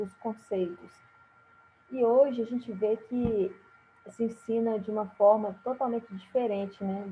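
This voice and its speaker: A woman from Brazil gives a recorded lesson in a halting, unhurried way, pausing on fillers and restarting her phrases. Her voice sits in a middle range and comes through a thin, phone-like channel with a faint hiss underneath. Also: mid-range voice. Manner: slow, halting delivery with many fillers and restarts. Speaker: teacher.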